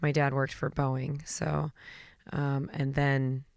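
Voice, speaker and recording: A woman's voice: very deep voice, little pitch movement, slow pace, gravelly, a little mellow, no echo, clean studio sound. A female singer from Washington State, chatting casually in an interview and laughing easily.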